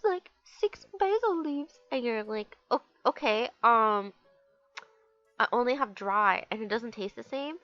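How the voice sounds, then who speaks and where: A woman's voice: on the high side, a bit bright, dry recording. A young woman with a stuffed-up, nasal voice, chatting casually and rambling with lots of fillers while she draws. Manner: bubbly, rambling, many fillers. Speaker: artist chatting while drawing. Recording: dull lo-fi recording.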